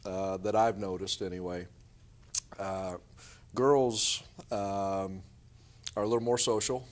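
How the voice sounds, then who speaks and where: A man's voice: sits low, steady tone, slow pace. A middle-aged man answering interview questions conversationally as a basketball coach.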